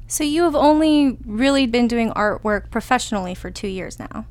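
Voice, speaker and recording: A woman's voice: on the high side, animated, normal speed, a little mellow. A woman hosting a podcast, reading a polished introduction and asking an interview guest questions. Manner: polished, reads announcements smoothly. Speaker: podcast host.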